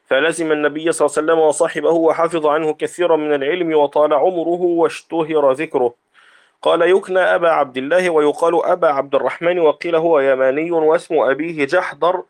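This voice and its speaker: Man reciting a classical text aloud. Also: on the high side. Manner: steady recitation of narrator chains and reports. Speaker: student reading a text aloud to a sheikh.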